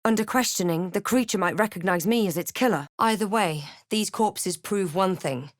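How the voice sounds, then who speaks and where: An actress playing a thoughtful, wary adventurer and spellcaster in a fantasy video game, speaking in a measured, deliberate way with dry snark. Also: female voice, mid-range voice, dry recording, lightly acted. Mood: grave, reflective. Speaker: video game player character. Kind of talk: scripted game voice lines, narration and barks.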